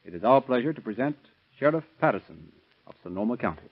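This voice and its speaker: A man's voice: medium pitch, expressive, quick pace. A man reading scripted sponsor copy as a 1930s radio announcer, formal and declamatory, on a tinny old recording.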